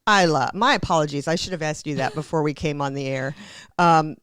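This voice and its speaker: A woman's voice: low voice, brisk, a bit bright, smooth, no room sound. A woman hosting a radio interview, introducing her guest in a chatty and affectionate way. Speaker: host, chamber of commerce president. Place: radio studio.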